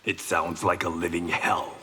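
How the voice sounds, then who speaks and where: An actor playing a stern, combative warrior in a video game, blunt and forceful. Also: male voice, low voice, level tone, a little slow. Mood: grim. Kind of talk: video game character acting. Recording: long reverb, faint background noise.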